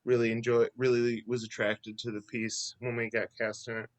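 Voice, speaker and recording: A man with a medium-pitched voice speaks as an actor on a panel. His delivery is conversational and thoughtful, building his point in long phrases. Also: completely flat delivery, normal speed.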